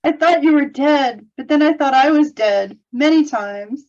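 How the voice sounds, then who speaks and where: A woman's voice: high-pitched, a little slow, a little mellow. A woman reading a part at a table read in a playful, melodramatic, joking way on slightly muffled audio.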